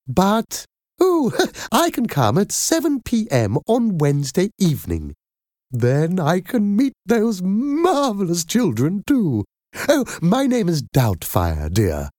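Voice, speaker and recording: Man voicing a high-pitched, gushing older nanny lady. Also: warm tone, no room sound, clean studio sound, exaggerated voice. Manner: effusive, fond endearments. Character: warm, enthusiastic older woman nanny, chuckling and gushing.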